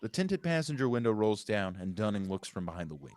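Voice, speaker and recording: A man's voice: fairly low pitch, big pitch swings, quick pace, darkish tone. A man reading stage directions as scripted narration at a live table read, occasionally correcting himself.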